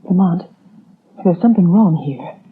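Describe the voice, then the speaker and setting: A woman's voice: fairly low pitch, expressive, normal speed, natural voice. An actress playing a polite, anxious young society woman in a 1930s radio drama, earnest and well-mannered. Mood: alarmed. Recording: dull, hissy 1930s radio recording.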